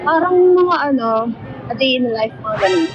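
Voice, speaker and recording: Female passenger, high pitch, casual halting chat, muffled helmet mic, traffic noise. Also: very animated. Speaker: motorcycle taxi passenger. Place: outdoors on the back of a motorcycle. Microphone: helmet intercom microphone. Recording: muffled intercom mic with loud road noise. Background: traffic and engine noise.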